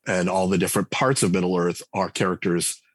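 A man's voice: deep voice, steady tone, fairly quick, dry recording, clean studio sound. A man speaking as a podcast guest, talking in a thoughtful, rambling way with lots of fillers and restarts. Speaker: game writer and designer guest. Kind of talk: conversational podcast interview.

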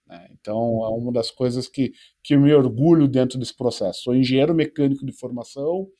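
Mellow-voiced man telling his story. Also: mid-range voice, a little fast. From Brazil. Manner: relaxed storytelling with self-deprecating jokes. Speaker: startup founder, guest. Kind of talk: podcast interview.

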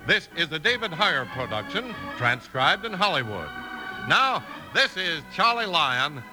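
A man's voice: on the high side, sing-song, measured pace, over music, rough recording. An American man announcing in upbeat, punchy 1950s radio sales style.

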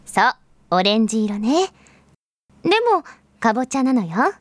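Woman as a gentle mother, fairly high voice. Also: very animated, measured pace, no echo. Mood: patient, tender. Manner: soft-spoken explaining, patient. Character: gentle, patient mother teaching her small child. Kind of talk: audio drama acting.

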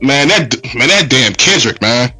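A man's voice: medium pitch, animated, measured pace, pushed and bright. A man guesting on a casual podcast, a laid-back storyteller who keeps saying "real talk" and "man". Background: background music track.

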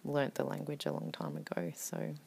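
A woman poet speaks casually as a podcast guest, pausing on ums and letting her words trail off softly. Her voice is very low with a gravelly fry in it. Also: little pitch movement. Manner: hesitant, many ums, soft trailing-off words. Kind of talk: casual interview talk.

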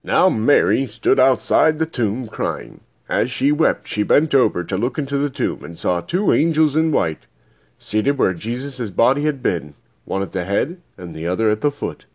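A man's voice: mid-range voice, very animated, normal speed. A man reading scripture aloud as scripted narration. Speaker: Bible reader.

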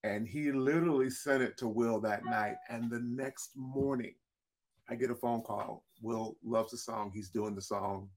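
A man with a deep voice, a singer-songwriter, telling stories in a relaxed interview and often restarting his words. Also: a little slow. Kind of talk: casual interview storytelling.

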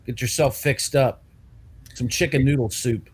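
Guy hosting a news chat segment, mid-pitched, kinda rambly with restarts. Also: fairly even intonation, a little slow, slightly rough. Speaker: publisher and segment host. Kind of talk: casual news commentary.

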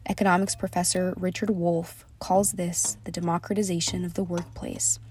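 Flat-voiced woman narrating a podcast intro. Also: lowish voice. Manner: even, measured delivery. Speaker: podcast host. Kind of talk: scripted narration.

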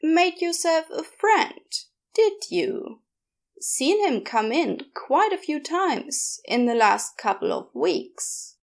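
Wry, needling nonbinary neighbour for story audio, female performer: dry delivery, measured pace, raised pitch. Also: sing-song, gravelly, heavily acted. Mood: wry teasing. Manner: dry, teasing, pointed.

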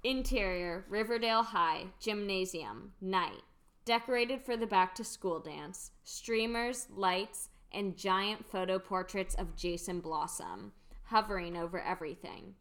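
A woman's voice: medium pitch, measured pace, fairly bright tone. A woman reading stage directions as the narrator of a scripted amateur radio play.

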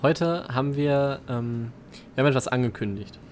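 A man's voice: mid-range voice, normal speed, slight background noise. A young man, co-hosting a podcast in casual chat. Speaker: podcast co-host.

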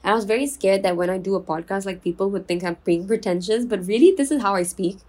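A woman's voice: medium pitch, quick pace, a little mellow. Chatty young woman hosting a podcast. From Singapore. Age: 20s. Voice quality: soothing. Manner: chatty, oversharing, enunciates clearly. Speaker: podcast host. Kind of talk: casual solo podcast chat.

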